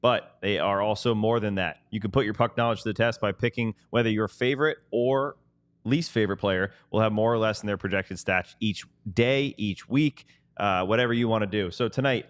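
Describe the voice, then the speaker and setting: A man's voice: on the low side, steady tone, a little fast, smooth, no room sound. A man co-hosting a sports podcast, talking casually and reading sponsor spots. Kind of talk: casual sports talk and ad reads.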